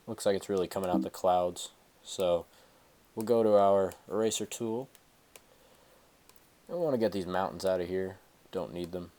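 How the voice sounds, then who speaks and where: Teenage man, very low voice, stuffy from a cold, rambling with fillers, headset mic in a small room. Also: dark tone. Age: teens. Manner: rambling, with many fillers and self-corrections. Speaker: tutorial host. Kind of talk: casual screen-recorded tutorial. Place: small room at home, indoors.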